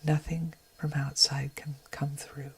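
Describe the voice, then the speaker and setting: A woman leading a guided meditation in a gentle, unhurried, breathy voice that often nears a whisper. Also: deep voice, flat delivery. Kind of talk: guided meditation, slow soothing narration. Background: meditation music.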